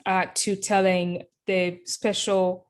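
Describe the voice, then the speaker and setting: Woman, from Kenya, interview guest, measured delivery, frequent ums, mid-pitched voice. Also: level tone. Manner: thoughtful, many ums and uhs. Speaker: guest, company founder. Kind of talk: interview answers.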